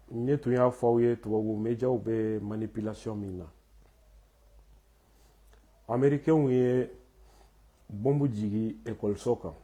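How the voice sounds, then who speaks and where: A man, hoarse from a cold, hosting a live talk in French and Bambara with many hesitant fillers. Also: mid-range voice, warm tone. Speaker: host of a live online talk.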